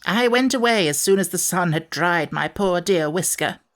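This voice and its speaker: A woman's voice: lowish voice, lively intonation, normal speed, close to an everyday voice. A woman voicing a grumpy, ancient and fussy sand fairy creature for a children's audiobook, in a gloomy, peevish storyteller's way. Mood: self-pitying.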